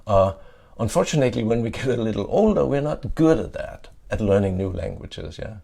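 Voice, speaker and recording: A middle-aged man with a Danish accent giving a conference talk. He often checks in with a questioning "yeah?" and pauses on "uh" fillers. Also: on the low side, animated, creaky, warm tone. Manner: adds "yeah?" to check in with listeners; frequent "uh" fillers and restarts. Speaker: conference speaker. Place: conference stage.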